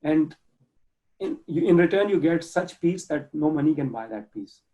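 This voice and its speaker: A man's voice: on the high side, lively intonation, normal speed, warm tone. A man from Pakistan with a Pakistani accent, talking earnestly as an interview guest over a video call, often restarting words as he searches for phrasing. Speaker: guest, project manager and nonprofit volunteer. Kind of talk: casual interview talk. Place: home room, indoors. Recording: dull lo-fi video call audio.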